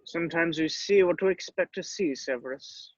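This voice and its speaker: A man playing a grave, shrewd old wizard headmaster in a screenplay table read, speaking in a measured, deliberate way. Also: a little high, no echo, muffled recording, lightly acted. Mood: calm, wry.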